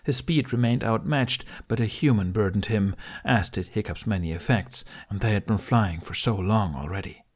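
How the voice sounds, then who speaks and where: A man's voice: lowish voice, normal speed, hardly any pauses. A man narrating a fantasy adventure audiobook in a dramatic, scripted storytelling style.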